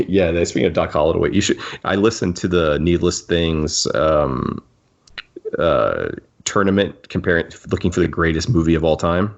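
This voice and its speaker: Man, deep voice, jokey casual podcast chat, muffled lo-fi recording. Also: normal speed, gravelly. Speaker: podcast host. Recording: dull, lo-fi audio.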